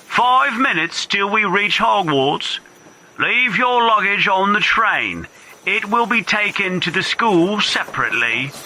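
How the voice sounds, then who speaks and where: A man voicing a formal, insistent train loudspeaker announcer in an audiobook, with emphatic delivery. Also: sits high, pitched above the performer's own voice, full character voice, low-quality recording.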